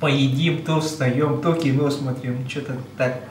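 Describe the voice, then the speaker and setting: A man's voice: medium pitch, a little slow. A man, chatting casually on camera in a small kitchen, plain-spoken and chuckling often. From Yakutia. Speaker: truck driver on a road trip. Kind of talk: casual vlog narration. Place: small rented apartment kitchen, indoors. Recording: echoey room with steady noise.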